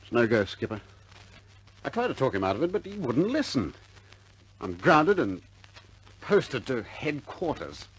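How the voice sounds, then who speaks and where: A man's voice: medium pitch, huge pitch swings, a little slow, pauses often, husky, exaggerated voice, poor audio. An actor playing a plucky, stubborn young RAF bomber airman in a 1950s British war film, blunt and informal in manner. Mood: dejected.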